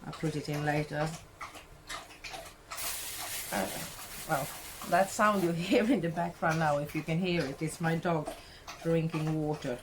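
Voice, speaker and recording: Slow-talking Swedish woman chatting while cooking. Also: low-pitched, steady tone, noisy background. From Sweden. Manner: rambling, self-correcting, chatty. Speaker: cooking vlogger. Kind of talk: casual cooking chat. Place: home kitchen, indoors.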